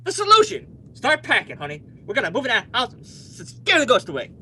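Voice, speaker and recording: A man's voice: very high-pitched, wildly swooping pitch, brisk, full character voice, poor audio. A male actor playing a brash, stubborn young husband in an old-time radio comedy, emphatic and blustering. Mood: excited.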